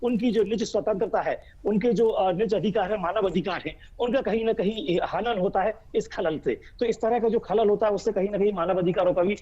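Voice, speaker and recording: A man reports live as a bureau chief. His voice sits high but has a soft, dark tone. He speaks emphatically and wordily, repeating phrases as he builds his point, and the link sounds dull and muffled. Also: a little fast, hardly any pauses. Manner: emphatic, wordy, repetitive phrasing. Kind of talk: unscripted live news reporting.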